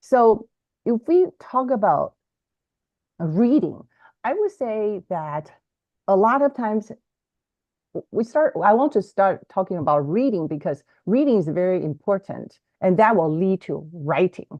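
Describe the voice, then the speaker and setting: Woman, Chinese native, educator guest; lively, explanatory, self-correcting delivery; dry, slightly muffled call sound. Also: medium pitch, unhurried, dry recording. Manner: animated, self-correcting, teacherly. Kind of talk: explanatory interview talk. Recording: dry, slightly dull lo-fi audio.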